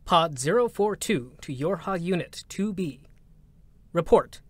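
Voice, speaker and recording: A procedural support robot delivers clipped, formal announcements with deadpan detachment. The sound is dry and close, in a science-fiction game-story reading. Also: male voice, fairly high pitch, measured pace, no echo. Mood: impassive. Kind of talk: dramatic concert reading.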